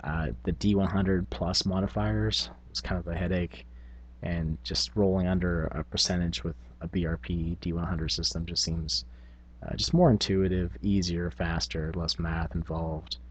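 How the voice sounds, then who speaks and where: Middle-aged man, low raspy voice, casual vlog delivery, informal, thinking out loud. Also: fairly even intonation, moderate pace. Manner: informal, hesitant, thinking aloud. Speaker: YouTube channel host. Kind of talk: casual reflective vlog on tabletop gaming.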